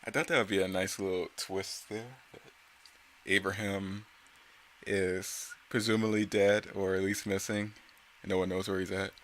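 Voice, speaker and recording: Bright-voiced man in casual, rambling commentary. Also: deep voice, flat delivery, moderate pace, slight background noise. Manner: self-critical, conversational, rambling. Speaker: creator commenting on own comic series.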